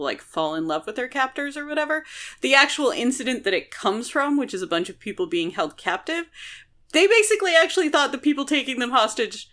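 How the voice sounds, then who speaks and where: A woman's voice: mid-range voice, sharp tone. An American woman co-hosting a podcast in casual discussion, explaining things at length and peppering her sentences with "like". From United States. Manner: explanatory, hedging with frequent "like". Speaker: podcast co-host.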